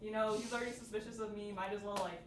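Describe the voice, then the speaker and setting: A woman's voice: mid-range voice, fairly even intonation, very fast. Young woman presenting in an echoey room. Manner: informal, peppered with "like". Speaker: workshop presenter. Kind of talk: casual workshop talk. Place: indoor workshop room. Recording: echoey room, distant mic, noisy.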